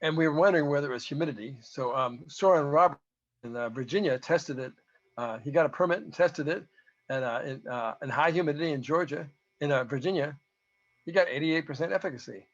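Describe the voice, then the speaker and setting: Hoarse man presenting research. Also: on the high side, brisk. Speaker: presenter, researcher giving a talk to beekeepers. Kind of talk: informal technical presentation with data. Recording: dull, lo-fi audio.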